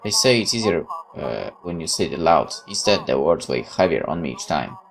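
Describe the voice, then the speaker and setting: Low-voiced man reading game, lively pitch swings. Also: a little fast, vocal fry, soft background music. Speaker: gaming video host. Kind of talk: reading game text aloud with casual commentary.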